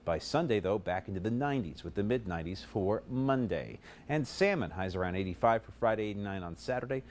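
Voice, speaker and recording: A man in his thirties giving a live TV weather forecast in a studio, brisk and conversational, running through numbers steadily on a lapel mic. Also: fairly low pitch, animated, a little mellow, slight background noise. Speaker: TV meteorologist. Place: TV studio, in front of a weather map.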